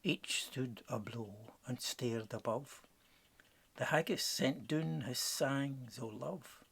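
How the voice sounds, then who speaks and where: Scottish male narrator for a rhyming picture book, unhurried and theatrical, with a gravelly edge. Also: mid-range voice, fairly bright tone. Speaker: storybook narrator. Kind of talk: dramatic rhyming verse storytelling.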